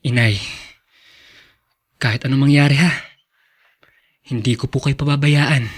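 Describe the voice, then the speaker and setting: A man's voice: medium pitch, steady tone, measured pace, husky. A man with a Filipino accent acting a devoted, earnest young student son in a radio drama, tender and respectful. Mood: caring. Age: young adult. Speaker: actor in a drama.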